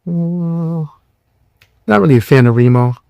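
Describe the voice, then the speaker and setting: A tired young man hosting a podcast, giving blunt, mocking commentary in loose slang with a low voice. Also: a little slow, creaky, darkish tone, no room sound. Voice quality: lower voice. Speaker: podcast host. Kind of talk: casual commentary.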